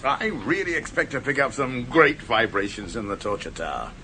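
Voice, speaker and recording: A brash, chattering filmmaker. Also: male voice, mid-range voice, sing-song, normal speed, pushed and bright, slight background noise. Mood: jovial, boastful. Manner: chatty and boastful, stammering restarts, nervous laughs. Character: brash, self-absorbed male horror filmmaker. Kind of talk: 1970s radio drama acting.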